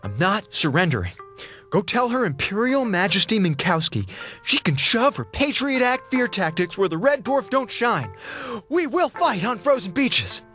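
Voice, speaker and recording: An actor playing an excitable, sarcastic comms officer on a space station in an audio drama, rambling and melodramatic. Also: male voice, high-pitched, moderate pace, soft background music. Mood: defiant, agitated. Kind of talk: modern audio drama in Golden Age radio style.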